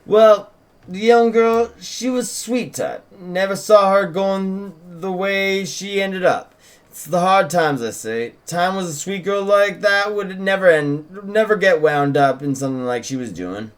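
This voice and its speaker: A man plays an eager young small-town deputy in a drama table read. The voice sits high and speaks with polite, folksy deference. The manner is talkative and earnest. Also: expressive, a little slow, clear, resonant tone. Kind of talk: script table read, in character.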